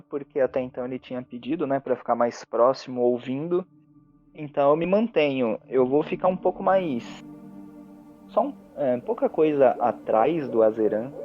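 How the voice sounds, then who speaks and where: A man's voice: mid-range voice, normal speed. A Brazilian man talking casually as a player in a tabletop roleplaying game. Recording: dull lo-fi audio under music. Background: background music.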